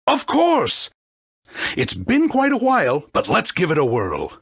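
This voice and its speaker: A woman voicing an excitable, good-natured male cook in a video game, gravelly and exuberant. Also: fairly low pitch, a little slow, no echo, clean studio sound, heavily acted. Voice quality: gravelly, husky.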